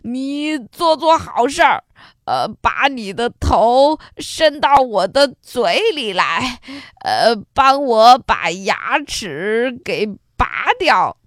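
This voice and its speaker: A woman voicing a wicked, scheming old male wolf in a children's story, wheedling and whining with drawn-out words. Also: a little high, very animated, gravelly, sharp tone, dry recording, studio quality, full character voice. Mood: fake weeping, wheedling.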